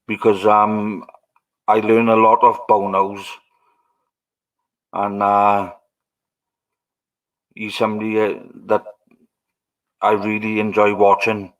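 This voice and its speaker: Welsh man, livestream host, lowish voice, easygoing rambling chat to viewers. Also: completely flat delivery, slow pace, pauses often, muffled recording. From Wales. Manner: rambling, musing aloud to viewers.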